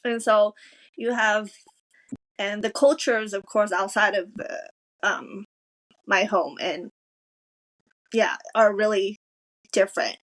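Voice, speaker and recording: A woman's voice: medium pitch, fairly even intonation, low-quality recording. Thoughtful woman in a casual podcast chat. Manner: thoughtful, measured, appreciative. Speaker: podcast co-host.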